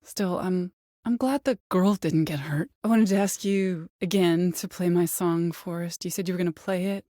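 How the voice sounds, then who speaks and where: A woman's voice: on the low side, normal speed, dark tone, dry recording, lightly acted. Actress as a coaxing, insistent woman caller, close and dry. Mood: sweetly insistent. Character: desperate, coaxing woman caller hiding a vengeful, menacing streak. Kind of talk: video game voice acting, dramatic dialogue.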